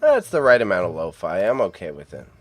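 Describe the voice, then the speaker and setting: Low-voiced Canadian man streaming from home. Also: huge pitch swings, brisk, dry recording. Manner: muttering to himself while editing, then chatty with viewers. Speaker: livestreamer editing photos. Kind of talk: casual live-stream chat.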